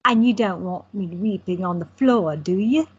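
A woman playing a quiet, teasing photographer in her twenties, trading dry banter with her brother. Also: mid-range voice, normal speed, slightly rough. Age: 20s. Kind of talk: amateur audio drama acting. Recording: dull lo-fi audio.

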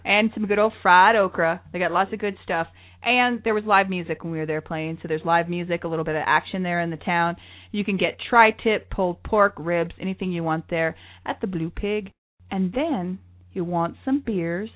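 A woman's voice: lowish voice, normal speed. Bubbly chatty woman, tinny line. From lived in New York City. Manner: bubbly, chatty, laughs often. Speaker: travel show co-host. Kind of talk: casual chat.

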